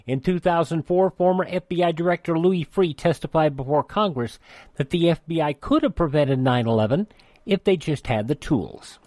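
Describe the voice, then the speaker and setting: A man's voice: a little high, darkish tone, no room sound. A man hosting a radio program, reading out dates from history in a conversational way with dry asides. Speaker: radio host.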